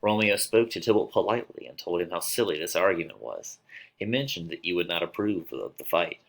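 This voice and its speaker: Man playing an earnest, low-voiced young nobleman. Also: lively intonation, a little fast, vocal fry, exaggerated voice, poor audio. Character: earnest young nobleman, loyal friend and witness. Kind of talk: dramatic play reading.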